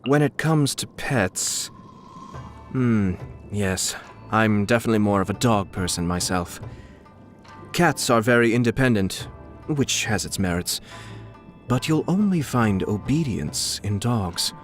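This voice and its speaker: An actor voicing a refined, composed young nobleman in a video game, courteous and quietly calculating, with formal, polished, wry phrasing. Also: lowish voice, lively intonation, a little slow. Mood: wry, musing. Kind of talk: scripted game character voice lines. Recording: studio voice with music behind.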